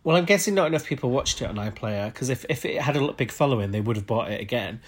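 A man's voice: medium pitch, expressive, slightly rough. A man co-hosting a podcast in casual, joking chat, a little bunged up from a cold, swearing freely. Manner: sweary, jokey, quick banter. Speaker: podcast co-host.

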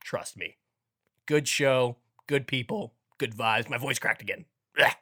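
A man's voice: medium pitch, measured pace, sharp tone. A young man hosting a casual sports podcast with upbeat, joking delivery, his voice a bit congested and cracking from allergies. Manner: upbeat, joking, playfully hammy intros. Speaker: podcast host.

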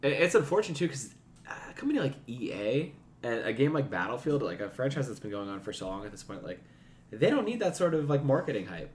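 A man's voice: mid-range voice, very animated, quick pace, slight background noise. A man co-hosting a podcast, moving between casual banter and news items. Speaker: podcast co-host.

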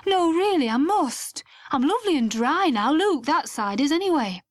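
A woman's voice: high voice, expressive, a little slow, voice pushed up. A woman voicing an awkward, reluctant young man who talks in a flustered, evasive way.

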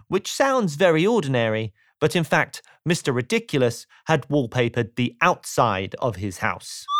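Lively, unhurried man reading a children's story. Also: medium pitch, dry recording, studio quality. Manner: playful, asks the listener rhetorical questions. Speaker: audiobook narrator. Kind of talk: scripted children's storybook narration.

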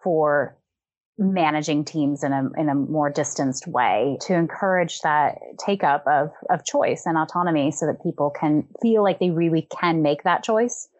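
A woman speaking as a podcast guest, a consultant who talks through her ideas in a reflective, measured way. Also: sits low, a little mellow, no echo. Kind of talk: casual conversational discussion.

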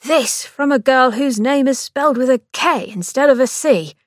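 A smug young doctor with a needling, exasperated edge, as a woman narrator puts him on. The voice sits a touch high and lively, with dry, close sound and no room around it. Storybook reading style. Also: moderate pace, no echo, natural voice. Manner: teasing, exasperated. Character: smug sharp-tongued young male doctor.

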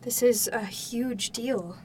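A caring but mildly doubtful mom played by a woman in a naturalistic audio drama. Her voice is on the high side and her delivery is conversational and questioning. She sounds a little away from the mic, over faint background noise. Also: slow pace, mellow, distant mic. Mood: softening. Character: caring, mildly skeptical mother, warming to her family.

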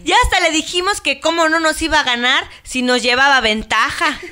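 A woman's voice: sits high, brisk, lightly acted. An actress playing a cheerful, teasing village woman in a radio drama. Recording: clearly noisy, with clicks.

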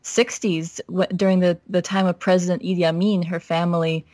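A woman from Minnesota as a podcast guest, telling her family story in a reflective, steady way with frequent "you know" fillers. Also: fairly low pitch, brisk, dry recording. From Minnesota, United States. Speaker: pastor's wife and guest. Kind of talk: podcast interview, personal story.

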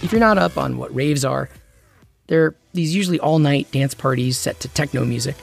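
A man's voice: mid-range voice, moderate pace, vocal fry, soft background music. A man hosting and narrating a documentary-style story in a conversational, personal scripted way. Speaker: host and narrator.

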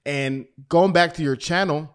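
A man's voice: on the high side, measured pace, clean tone. A man hosting a podcast, affirming his guest, laughing often and asking reflective questions. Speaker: podcast host. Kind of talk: interviewer chat.

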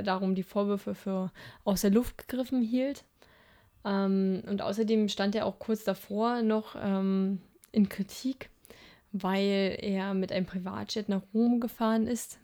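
A woman's voice: mid-range voice, warm tone. A young woman co-hosting a political podcast in casual chat, hesitant, with frequent filler sounds and restarts. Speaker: podcast co-host.

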